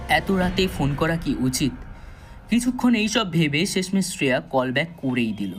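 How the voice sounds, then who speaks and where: A man's voice: fairly high pitch, big pitch swings, moderate pace. A man narrating a scripted thriller story in a dramatic, suspenseful storytelling manner. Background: background music.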